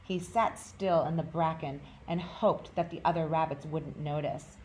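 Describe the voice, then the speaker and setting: A woman narrates a storybook for children as a storytime reader. Her voice sits a little low, and there is clear noise in the background. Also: fairly even intonation, measured pace, a little mellow, low-quality recording. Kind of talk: children's story read-aloud.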